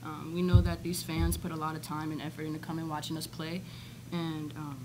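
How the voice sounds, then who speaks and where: A woman's voice: sits low, flat delivery, brisk, noisy background. A young woman basketball player answering at a postgame press conference, upbeat and peppered with filler ums, into a desk microphone. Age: young adult. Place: press conference room, indoors. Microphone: desk microphone on stand.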